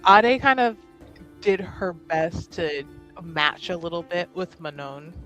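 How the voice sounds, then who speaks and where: Unhurried, jokey woman at game table. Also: lowish voice, lively intonation, fairly bright tone, soft background music, rough recording. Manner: informal, jokey, laughs often. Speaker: tabletop roleplaying player. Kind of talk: casual in-character and narrated game talk.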